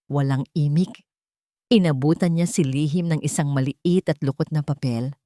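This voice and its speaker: Low-voiced Filipino woman narrating drama. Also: moderate pace, dark tone, no echo. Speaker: story narrator.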